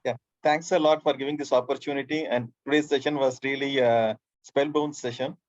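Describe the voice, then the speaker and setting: A man's voice: mid-range voice, steady tone, a little fast, no echo. Hesitant man on dull lo-fi call audio. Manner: hesitant, many "uh" fillers. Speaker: caller asking a question. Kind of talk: online leadership talk. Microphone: video call.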